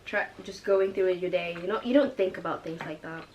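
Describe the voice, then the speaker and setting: A woman's voice: on the low side, brisk, a little mellow, highs cut off. Late-teen woman, laid-back, short casual remarks, faint background noise. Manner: laid-back, brief interjections. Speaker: vlogger, younger sister. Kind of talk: casual chat while eating.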